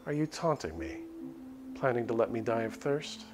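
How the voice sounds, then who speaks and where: A man's voice: medium pitch, sing-song, normal speed. A man playing a frightened, sardonic captive. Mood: bitter. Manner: pleading, sardonic. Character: frightened, weary captive with bitter sarcasm.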